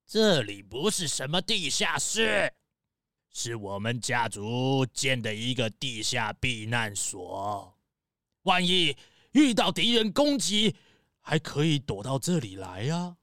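A man voicing a theatrical aristocratic bat count with a drawn-out, slightly sinister manner in a children's audio drama. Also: mid-range voice, sing-song, a bit bright, no room sound, clean studio sound. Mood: proud. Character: theatrical aristocratic male bat count, formerly castle owner.

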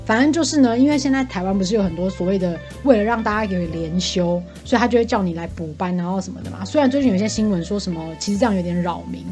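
Fast-talking Taiwanese woman venting casually. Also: medium pitch, a little mellow, soft background music. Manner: talkative, exasperated complaining. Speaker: podcast co-host venting as a bar customer. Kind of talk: casual chat, animated storytelling.

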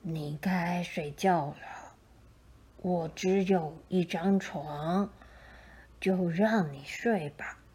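A kindly old saint from a storybook, acted out by a woman. The voice drops low and soft, almost whispering at times. The words come slowly and gently, with patient care. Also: husky, full character voice. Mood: gentle. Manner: slow, hushed, gentle.

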